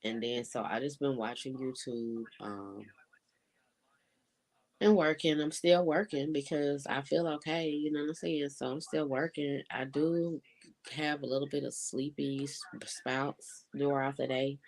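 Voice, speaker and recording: Stuffy, congested young adult vlogger with a gravelly voice; laid-back, rambling, lots of fillers. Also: mid-range voice, fairly even intonation, unhurried. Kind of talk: casual vlog update.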